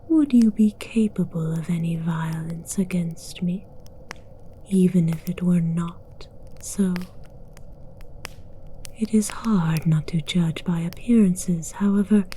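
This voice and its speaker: A woman voicing an imperious, amused dragon queen in a breathy, teasing whisper for an ASMR roleplay, speaking in a regal, formal way. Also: lowish voice, dark tone, slight background noise, low-quality recording.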